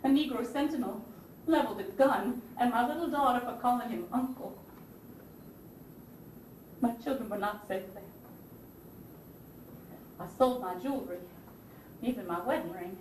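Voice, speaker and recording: Woman acting a proud southern first lady in a stage play, highish voice, theatrical and genteel, echoey room far from mic. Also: darkish tone, natural voice. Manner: theatrical, genteel, emphatic, swinging from anguish to haughty scorn. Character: proud, indignant Southern First Lady of the Confederacy, wounded mother. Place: theatre stage, recorded from a distance. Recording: roomy distant stage recording with hiss.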